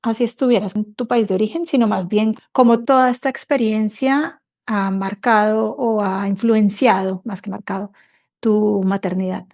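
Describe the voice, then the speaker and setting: A woman's voice: mid-range voice, steady tone, moderate pace, darkish tone. A woman hosting an intimate podcast conversation. She talks in a reflective, encouraging way and acts out inner dialogue as quotes. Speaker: podcast host.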